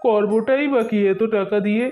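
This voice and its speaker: A woman's voice: medium pitch, a little fast, mellow, lightly acted. A woman voicing a mild, good-natured husband bird in a children's cartoon, with an earnest, placating manner.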